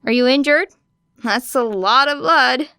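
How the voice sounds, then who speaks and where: A woman's voice: sits high, unhurried, sharp tone, smooth, no room sound, clean studio sound, voice pushed up, exaggerated voice. A woman voicing an easygoing, teasing male detective in a lifted, friendly voice.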